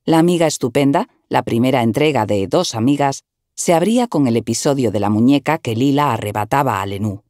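A woman narrating a written article in an even, measured reading style, recorded close to the mic. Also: a bass voice, no room sound.

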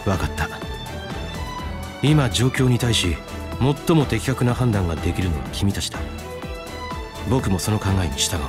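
A man voicing a composed, courteous young military commander in a Japanese video game, speaking in a measured, earnest way. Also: on the low side, animated, music in the background, lightly acted. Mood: calm, resolute. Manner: measured, earnest, gently formal.

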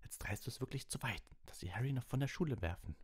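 A man's voice: medium pitch, expressive, quick pace, breathy edge, pitched above the performer's own voice. A man voicing a brash teenage boy who talks bluntly and urgently, in an audiobook. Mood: angry. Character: teenage boy, red-haired best friend, brash and goading.

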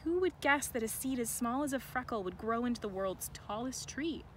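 A woman reading a picture book to children, expressive and deliberate, with chatty asides. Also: a little high. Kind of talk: read-aloud with chatty asides. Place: outdoors in a sunny farm garden. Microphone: camera held by another person nearby. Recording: outdoor ambient noise.

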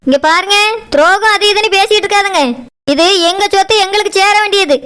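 Hard-hearted daughter-in-law, female performer for a family skit: piercing, fast-talking, curt and scolding, southern Tamil Nadu accent. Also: very high-pitched, fairly bright tone. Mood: dismissive anger. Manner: scolding, dismissive. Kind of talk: family drama skit acting.

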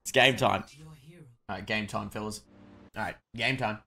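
A young adult man chatting excitedly on a gaming livestream, tossing out short repeated catchphrases. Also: on the low side, moderate pace, creaky. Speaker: game streamer.

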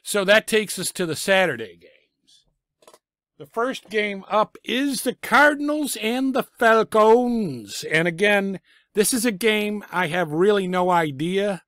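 A middle-aged man with a raspy voice from a lingering cough, giving blunt, sarcastic football picks from a small home room on a desk microphone. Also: high-pitched, lively intonation, slow, fairly bright tone, no room sound, studio quality. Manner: blunt, sarcastic about teams and coaches. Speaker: sports picks vlogger. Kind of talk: casual football picks commentary. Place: small home room full of sports memorabilia. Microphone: desk microphone in front of him.

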